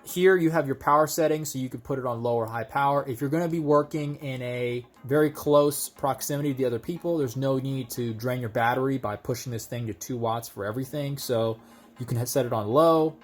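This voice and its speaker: A man's voice: mid-range voice, fairly quick, faint music behind. A man giving a casual, conversational hands-on product demonstration, explaining things step by step as a video host.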